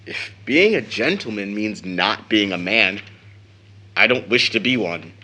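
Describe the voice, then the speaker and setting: Lively, bright-voiced teenage boy. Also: fairly low pitch, unhurried, slight background noise, full character voice. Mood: defiant. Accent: American. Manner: theatrical, jokingly pompous with slangy boyish talk. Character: lively teenage son, proud, teasing, playfully bookish. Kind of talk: audio drama acting of an early 1900s stage play.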